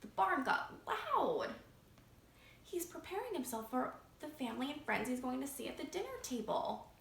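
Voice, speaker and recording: A woman reads a picture book to young children. Her voice is high and full of lively swings, expressive like a storytime reader. The room is echoey with faint noise behind her. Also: normal speed, creaky. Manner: expressive, asking young listeners questions. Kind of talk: animated picture book read-aloud to children. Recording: roomy echo, faint background noise.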